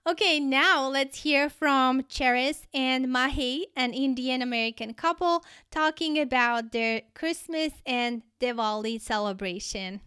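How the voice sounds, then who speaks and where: A woman hosts in an easy conversational style. Her voice sits a little high and is exceptionally clear and smooth, with very little room sound around it. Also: measured pace, hardly any pauses, pushed and bright, no room sound, clean studio sound. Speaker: host introducing guests. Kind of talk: casual, conversational hosting.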